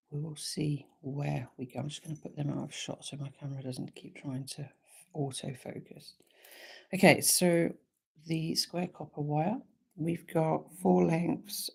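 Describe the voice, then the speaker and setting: Woman, slightly croaky, husky, mellow dark tone, chatty live craft teacher. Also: deep voice. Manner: chatty, easygoing, thinks aloud with frequent ums. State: slightly croaky, sore throat. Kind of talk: relaxed live craft tutorial.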